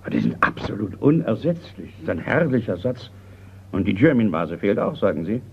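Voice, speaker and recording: A creaky old colonel from a radio crime drama, proud and gruff, quickly flustered. His pitch swings widely, and the recording is slightly muffled. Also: big pitch swings, full character voice. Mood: concerned. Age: older. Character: retired colonel and art collector, proud, easily agitated. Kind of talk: German radio crime play acting.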